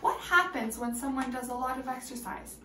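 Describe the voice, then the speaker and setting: A woman's voice: sits high, brisk, pushed and bright, reverberant, a little hiss or hum. A woman teacher reading a storybook aloud expressively and prompting young listeners with questions.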